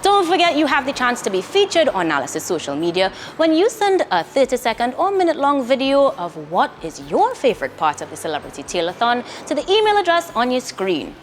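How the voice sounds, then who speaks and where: A woman's voice: sits high, wildly swooping pitch, brisk, few pauses, soft background music. A woman reading a scripted promotional announcement as an announcer.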